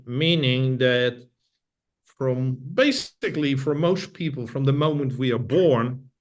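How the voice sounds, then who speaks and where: A middle-aged Dutch-accented man being interviewed, speaking at a middling pitch. He works through his ideas carefully, often restarting a sentence and circling back to repeat a phrase. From Netherlands. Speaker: guest. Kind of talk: casual interview talk.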